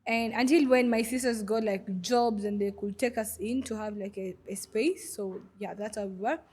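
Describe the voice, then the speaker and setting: A woman's voice: medium pitch, normal speed, darkish tone, husky. A young woman from Goma in the DR Congo, a podcast guest, who tells her story in a hesitant way with many fillers. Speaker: guest, refugee vendor. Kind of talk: podcast interview.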